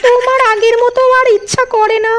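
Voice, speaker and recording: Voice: flat delivery, brisk, slight background noise. A performer voicing a sulky, whiny cartoon wife who nags and complains. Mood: sulky, nagging. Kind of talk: comic cartoon dubbing. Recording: shrill shifted voice over loud music.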